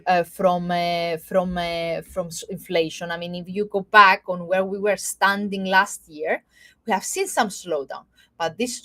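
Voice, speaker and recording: Greek Cypriot woman analyst, halting. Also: mid-range voice, fairly bright tone. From Cyprus. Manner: halting, many fillers and restarts; checks in with "okay?" often. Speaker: market analyst. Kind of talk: live market webinar.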